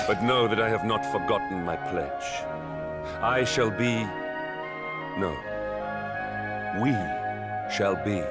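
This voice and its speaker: An actor playing a noble, loyal, sorrowful knight in a video game, speaking formally and earnestly. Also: male voice, on the low side. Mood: solemn, resolute. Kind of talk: dramatic video game voice acting. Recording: loud music over the voice.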